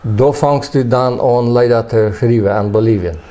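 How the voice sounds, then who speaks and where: A man's voice: medium pitch, moderate pace, warm tone. A man hosting a conversational interview who asks short, friendly questions. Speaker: interviewer host.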